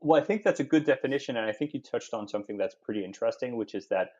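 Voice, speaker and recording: A man's voice: medium pitch, lively intonation, racing, darkish tone, highs cut off. A man from Europe, a podcast guest speaking thoughtfully, often restarting and repeating words as he thinks. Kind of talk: podcast conversation.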